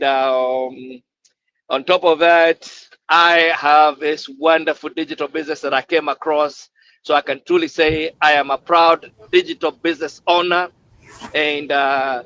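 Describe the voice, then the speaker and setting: A man hosts a live online session, piling up words in an exuberant, preaching cadence. His voice sits a little high for a man, and the audio is dull and lo-fi, as over a video call. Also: a bit bright, no room sound. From Kenya. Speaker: host. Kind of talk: enthusiastic livestream hosting.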